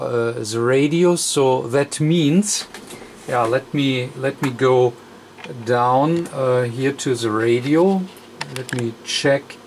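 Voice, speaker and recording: Man, very slow halting workbench explainer, faint hiss behind. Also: mid-range voice. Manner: halting, many fillers, counts aloud while adjusting. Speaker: radio repair technician. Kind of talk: hands-on repair demonstration. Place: electronics workbench.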